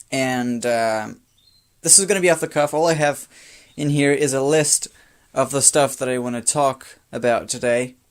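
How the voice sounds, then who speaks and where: A teenage boy from Brazil, sixteen, speaks English as a second language with faint traces of a Brazilian Portuguese accent. His voice sits a little high for a man. He rambles off the cuff, tired, into a phone camera, repeating and restarting phrases. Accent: light Brazilian Portuguese. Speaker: vlogger. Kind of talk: off-the-cuff personal talk to camera.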